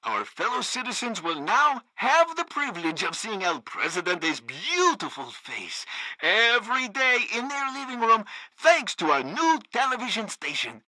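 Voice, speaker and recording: Gleefully loyal state radio host: bright, forceful, swooping delivery, pompous and sycophantic, game news satire. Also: male voice, sits high, big pitch swings, unhurried, no echo, full character voice, rough recording. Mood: gleefully proud. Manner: grandiose, sycophantic.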